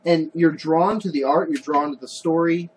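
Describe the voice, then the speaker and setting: A man co-hosting a casual podcast about comics, talking in an animated way and often restarting his sentences. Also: a little high, normal speed, slight background noise, low-quality recording. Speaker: podcast co-host.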